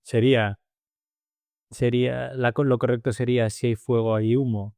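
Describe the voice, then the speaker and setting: Podcast co-host, male, mid pitch, dry close mic, explains by everyday example. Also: little pitch movement, moderate pace, creaky, mellow, no room sound. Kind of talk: casual conversational podcast.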